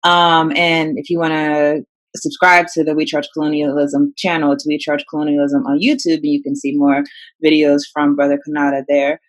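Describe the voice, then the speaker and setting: An interviewer asking relaxed questions, with brief affirmations and some fillers. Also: medium pitch, normal speed. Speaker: interviewer host.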